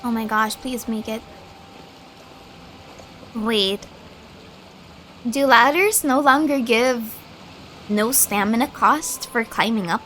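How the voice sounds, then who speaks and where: A woman's voice: on the high side, slow pace, long pauses, a bit bright, a little hiss or hum. A young woman streamer giving casual, chatty gameplay commentary, rambling and musing aloud. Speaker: game streamer. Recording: game music behind the voice. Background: game music.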